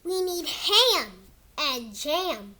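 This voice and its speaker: A child hosting a read-aloud of a picture book, reading haltingly like a beginner and drawing words out. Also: high voice, sing-song, pushed and bright.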